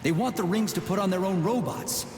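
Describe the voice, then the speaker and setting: An actor playing a blunt, sardonic military major in a video game, talking in a gruff, colloquial way. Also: male voice, sits high, brisk, noisy background, natural voice. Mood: indignant. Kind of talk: scripted video game dialogue. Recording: echoey reverberant space, music behind.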